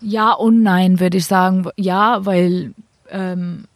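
Young indian-accented woman, halting german w lots of ums, dry close mic. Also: lowish voice, fairly even intonation, no echo. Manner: hesitant, simple non-native German with fillers. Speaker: cricket player, guest. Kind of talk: casual interview answers.

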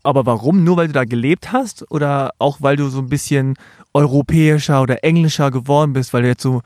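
A male interviewer at a middle pitch who asks long, winding questions and keeps rephrasing them mid-sentence. The recording is close and dry, with no room sound. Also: brisk, darkish tone, no room sound. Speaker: interviewer, host.

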